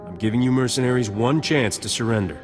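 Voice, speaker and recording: An actor playing a cool, cocky spaceship captain in a video game, with a dry, confident delivery. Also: male voice, mid-range voice, quick pace, natural voice. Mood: defiant. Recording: echoey hall, faint music behind.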